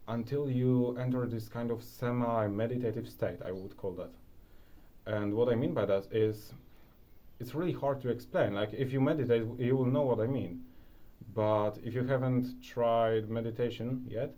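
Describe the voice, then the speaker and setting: A man's voice: mid-range voice, mellow. A young man with a Polish accent, casually sharing advice as a video creator, rambling with lots of restarts and fillers. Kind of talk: casual talking-head advice.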